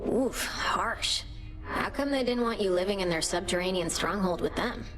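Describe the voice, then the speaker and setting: An actress playing a blunt, irreverent companion in a video game, with a wry, casual, teasing delivery. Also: female voice, medium pitch, normal speed, lightly acted, rough recording. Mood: mock offended. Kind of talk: video game character acting. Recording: loud music under the voice.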